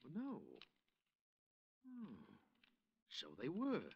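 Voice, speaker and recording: Nervous insurance broker, swooping pitch. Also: male voice, wildly swooping pitch, drawn-out words, breathy edge, highs cut off, full character voice. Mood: nervous, deferential. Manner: hesitant, apologetic, deferential. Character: nervous, eager-to-please male insurance broker, short and thin. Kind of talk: 1950s radio drama acting.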